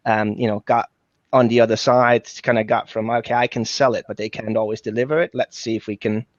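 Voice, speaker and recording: A man's voice: medium pitch, fairly even intonation, fairly quick, no echo. A middle-aged Danish man with a Danish accent, telling his work history in a loose, rambling way with many fillers over a video call. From Denmark. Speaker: guest, talent sourcer. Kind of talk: casual video chat. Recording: dull lo-fi web call audio.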